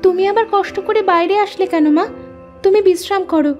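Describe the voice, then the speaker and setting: A woman's voice: very high-pitched, brisk, a little mellow, soft background music. A woman voicing a meek, dutiful young stepdaughter in a cartoon, submissive and placating. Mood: gentle, reassuring. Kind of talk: Bengali cartoon voice acting.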